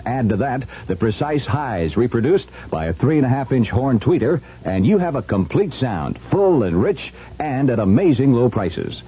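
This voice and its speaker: A man's voice: mid-range voice, husky. A man reading a scripted radio commercial as an announcer. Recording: dull lo-fi 1970s radio recording.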